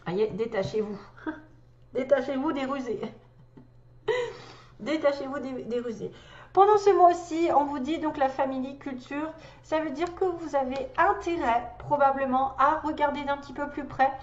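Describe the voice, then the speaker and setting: A woman's voice: sits high, moderate pace, reverberant, dull audio. A woman with a cold giving a casual tarot reading, chatty, with many hesitations and little laughs. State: has a cold. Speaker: tarot reader.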